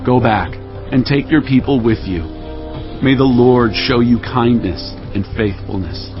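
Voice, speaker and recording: Grave biblical king played by a man, mid-pitched. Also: measured pace, natural voice. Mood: concerned, kindly. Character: grave, humble king of ancient Israel. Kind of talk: audio Bible drama acting. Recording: dull lo-fi audio with background music.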